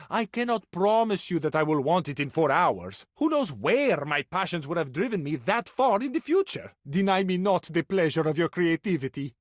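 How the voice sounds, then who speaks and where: A man's voice: medium pitch, dry recording, clean studio sound. A man playing a flamboyant, vain Italian lover in a video game, speaking with an Italian accent in an effusive, florid, romantic manner. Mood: pleading, impatient. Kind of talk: theatrical video game character acting.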